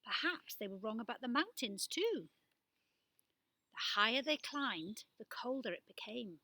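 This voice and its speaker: Animated, bright-voiced woman storyteller. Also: on the high side, a little slow, no room sound. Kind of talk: children's storybook narration.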